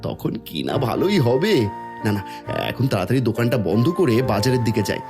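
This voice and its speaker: A man's voice: medium pitch, sing-song, brisk, music in the background. A man voicing a greedy, sly village food-stall owner in a cartoon moral story. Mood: gloating.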